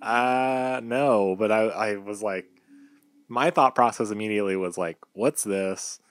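A man's voice: lowish voice, unhurried, clear, resonant tone. A giggly man chatting casually as a podcast co-host, often laughing as he talks. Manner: giggly, breaks into laughter while talking. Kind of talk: casual chat.

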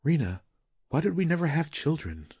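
A man's voice: fairly high pitch, very animated, moderate pace, a little mellow, slightly rough, no echo, pitched above the performer's own voice, full character voice. An earnest, troubled musician and husband in a fantasy audiobook, acted by a man. His speech is restrained and sincere, recorded very dry and close. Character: earnest, troubled married male Japanese flute musician. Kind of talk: audiobook character dialogue.

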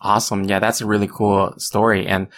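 A young adult man interviewing a guest, asking long halting questions full of restarts and fillers. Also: on the low side, little pitch movement, fairly bright tone. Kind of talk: conversational interview.

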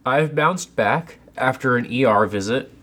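Congested man with a lively voice. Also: medium pitch, a little slow. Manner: animated, self-deprecating, sips tea while talking. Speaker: podcast co-host. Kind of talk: casual chat. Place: home basement.

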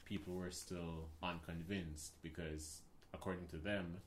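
Jamaican man, very low voice, thoughtful casual chat, faint background noise. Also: flat delivery, a little slow. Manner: thoughtful, hesitant, many "like" fillers. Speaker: video co-host.

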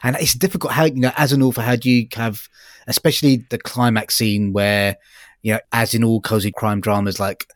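A man co-hosting a casual review discussion, halting and apologetic when he gives criticism. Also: medium pitch, fairly even intonation, no room sound, clean studio sound. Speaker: audiobook review podcast co-host.

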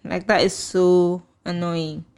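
A woman's voice: on the low side, flat delivery, takes it slowly, a little mellow, a little hiss or hum. A young woman with a Ghanaian accent, casually chatting as a podcast co-host, reading out and reacting to listener posts with frequent laughter. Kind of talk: casual chat.

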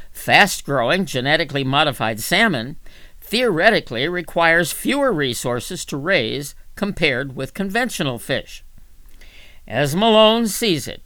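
Female narrator for magazine articles: animated intonation, measured phrasing, dry sound with little room. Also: sits low, no echo. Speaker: volunteer reader for an audio reading service. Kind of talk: scripted narration, reading magazine articles aloud.

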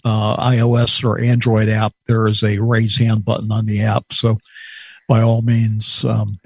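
A man co-hosting a call-in show, explaining how to raise a hand, halting and repeating words. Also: fairly low pitch, completely flat delivery, a little mellow, slightly rough, no room sound. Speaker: co-host running the call.

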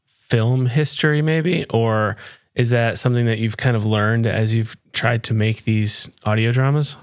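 A man with a medium-pitched voice hosts a podcast interview. His questions are casual and curious, phrased a little tentatively. The sound is close and dry, with no room echo. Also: steady tone, a little slow, clean tone.

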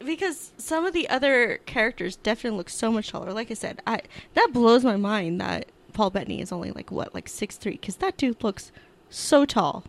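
A woman's voice: on the high side, animated, brisk. A woman co-hosting a podcast in casual chat, upbeat and teasing, with frequent restarts. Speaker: podcast co-host.

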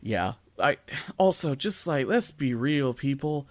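A man's voice: medium pitch, very animated, measured pace, no room sound. A man co-hosting a podcast, chatting casually about things. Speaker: podcast co-host.

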